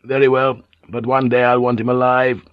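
A man's voice: mid-range voice, flat delivery, moderate pace, no echo, highs cut off, close to an everyday voice. A man voicing a menacing, furious Japanese warlord with a raw voice. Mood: grudging menace.

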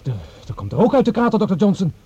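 High-pitched excitable Mars driver. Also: male voice, fast. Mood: alarmed. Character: jumpy, sceptical male driver on Mars, defiant. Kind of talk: Dutch radio drama acting. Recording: dull noisy old radio recording.

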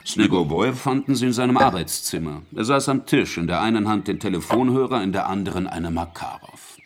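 A man in a German radio drama playing a sly, polite investigator who speaks deliberately with insinuating courtesy and quiet menace. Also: on the low side, expressive, natural voice. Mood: coolly methodical.

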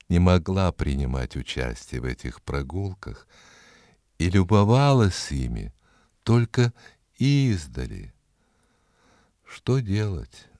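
A man narrating a fairy tale expressively, in a measured way with dramatic pauses. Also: very deep voice, darkish tone, no room sound, clean studio sound. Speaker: storyteller. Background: music.

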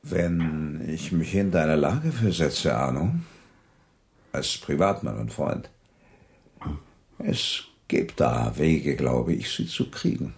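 Casting: guarded company director, radio play; extremely low voice, heavy fry, deliberate delivery. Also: male voice, animated, darkish tone, heavily acted. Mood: guarded, persuasive. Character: measured, scheming male industrial director advising a friend. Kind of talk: German radio drama acting.

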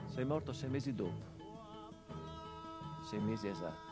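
An actor playing a grown son who speaks to his murdered father in a theatrical monologue, quiet and reflective, with flashes of bitter emphasis. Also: on the low side, animated, measured pace, loud music behind the voice. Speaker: stage actor in a radio play.

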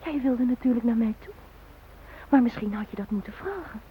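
An actress in a radio drama playing a grieving young woman who speaks haltingly and in anguish to her dead fiancé. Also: fairly high pitch, lively intonation, a little hiss or hum, close to an everyday voice. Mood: grieving, tender. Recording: dull, lo-fi old radio recording.

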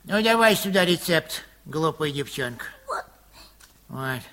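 Fussy, grumbling old pharmacist. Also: male voice, a little high, lively intonation, slow, fairly bright tone. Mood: resigned grumbling. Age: older. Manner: fussy, complaining, sighing. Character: grumbling, soft-hearted old male pharmacist. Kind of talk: Soviet radio play acting.

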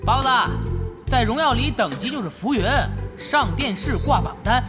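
An actress playing a grandiose, fame-obsessed young gamer in an audio drama. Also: female voice, on the high side, wildly swooping pitch, moderate pace, over music. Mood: lofty, preaching. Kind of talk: Chinese audio drama acting.

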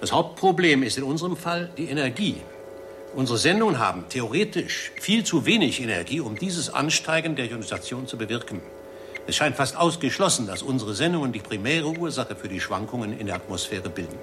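An actor playing an earnest, methodical radio scientist in a 1960s German radio play, explaining in a businesslike way. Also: male voice, medium pitch, sing-song, brisk, close to an everyday voice.

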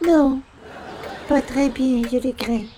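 Someone acting pompous polite visitor, high voice, formal and naive, old-time radio comedy, faint noise. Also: takes it slowly, dark tone, a faint crowd. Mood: courteous, puzzled.

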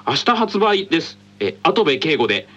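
A man's voice: a little high, sing-song, normal speed, a bit bright, slightly rough. A man co-hosting a radio show, answering questions in casual banter with flustered protests. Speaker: radio show co-host, voice actor. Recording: dull lo-fi sound, music behind.

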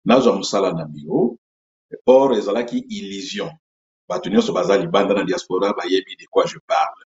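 A Congolese man hosting his own channel, explaining step by step in an unhurried way with many pauses and fillers. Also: on the low side, big pitch swings, creaky, darkish tone, a little hiss or hum. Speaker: YouTube channel host. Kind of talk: explanatory talk to camera. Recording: echoey room, dull lo-fi sound.

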